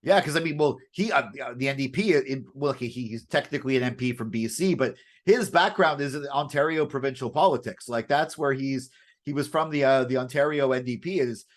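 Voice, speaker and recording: A man's voice: medium pitch, fairly quick. A man co-hosting a current affairs show, chatting casually and unscripted, often repeating and restarting his phrases. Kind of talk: casual unscripted news chat.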